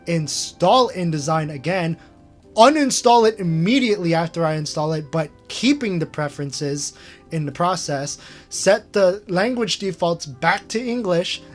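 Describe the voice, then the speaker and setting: A man hosting a casual screen-share retrospective, rambling with lots of fillers and chuckling at himself. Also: sits high. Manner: rambling, filler-heavy, self-amused. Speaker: channel host.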